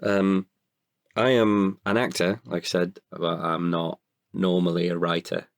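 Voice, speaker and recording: A man, an actor, talking reflectively about himself in an interview, haltingly and with pauses mid-sentence. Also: low-pitched, level tone, creaky, dry recording, studio quality. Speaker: actor and guest writer. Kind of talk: reflective personal interview talk.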